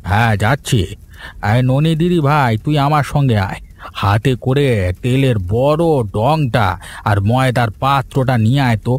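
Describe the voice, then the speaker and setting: A man's voice: mid-range voice, moderate pace, lightly acted. A man playing a stingy old grandfather in a Bengali cartoon, scolding and bossy as he grumbles at his household. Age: older. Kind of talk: Bengali moral-story cartoon dubbing. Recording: faint music behind the voice.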